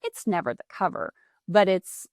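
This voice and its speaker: A woman who runs a publishing company, talking as a podcast guest in a quick, animated way with frequent restarts. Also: mid-range voice, dry recording, studio quality. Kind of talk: casual podcast conversation.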